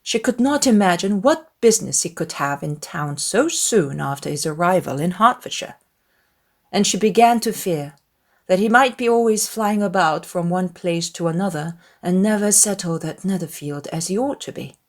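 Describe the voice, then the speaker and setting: A woman reading a dramatized literary audiobook in an expressive, measured storytelling manner. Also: fairly low pitch. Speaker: audiobook narrator.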